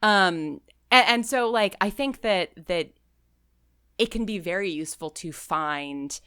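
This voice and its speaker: A woman chatting casually as an interview guest, animated and conversational, often checking in with "right?". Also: on the low side, unhurried, clean tone, no echo, clean studio sound. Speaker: interview guest, fiction podcast writer.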